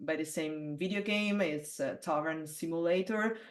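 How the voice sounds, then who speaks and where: A woman from Italy who sings metal, talking in a relaxed interview with a low alto voice. She speaks with many hesitant fillers and restarts. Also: normal speed. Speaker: metal singer, interview guest. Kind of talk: casual interview conversation.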